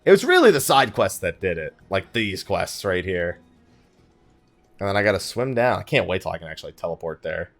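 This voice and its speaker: A man's voice: low-pitched, big pitch swings, fairly quick, faint music behind. A man hosting a let's play with casual gaming commentary, thinking aloud and muttering asides to himself.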